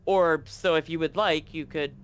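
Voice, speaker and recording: A man's voice: on the high side, fairly even intonation, moderate pace, clean tone. A man running a tabletop role-playing game as game master, narrating scenes and options in a casual, conversational way.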